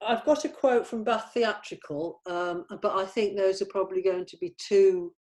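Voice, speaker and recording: Chatty middle-aged woman, medium pitch. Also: normal speed, darkish tone. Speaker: producer. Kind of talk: casual production meeting chat.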